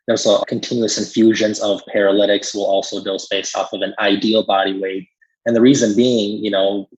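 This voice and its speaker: A man explaining medical topics conversationally as an expert, in a measured way with frequent "you know" asides. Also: on the low side, breathy edge, highs cut off.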